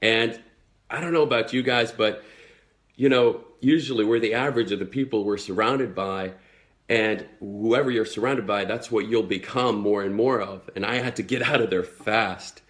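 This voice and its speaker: A man's voice: on the low side, normal speed, a bit bright. A Swedish man in his twenties with an American accent, talking earnestly and motivationally to followers in a live video, with many fillers. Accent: American, learned in the United States. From Sweden. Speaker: network marketing entrepreneur.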